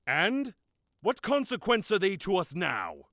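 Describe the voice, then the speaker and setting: An actor playing a zealous, assured high priest of a cult in a fantasy audio drama, declaiming theatrically. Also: male voice, wildly swooping pitch, a little slow, fairly bright tone, no room sound, studio quality, full character voice. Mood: dismissive, assured.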